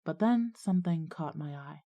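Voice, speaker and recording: A woman's voice: deep voice, lively intonation, moderate pace, muffled recording. A woman playing an anxious schoolgirl who narrates her own ghost story in an audio drama. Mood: tense narration. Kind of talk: scripted audio drama, first-person narration and dialogue.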